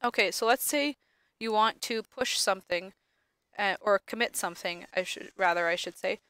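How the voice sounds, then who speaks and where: Sniffly young woman teaching software. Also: medium pitch, moderate pace, clean tone, no room sound. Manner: casual, many ums and self-corrections. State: sniffly from allergies. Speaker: lab instructor. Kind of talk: screen-recorded software tutorial.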